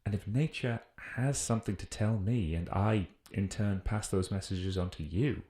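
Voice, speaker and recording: A British man playing an earnest naturalist who muses formally and deliberately in a scripted audio drama field report. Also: on the low side, dark tone, close to an everyday voice. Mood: musing, earnest. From United Kingdom. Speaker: voice actor. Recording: roomy, echoing voice recording.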